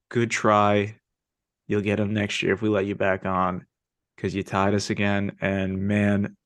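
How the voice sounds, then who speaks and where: Excitable young man hosting a podcast. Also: on the low side, dry recording. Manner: eager, excitable storyteller. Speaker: podcast host. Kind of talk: casual sports chat.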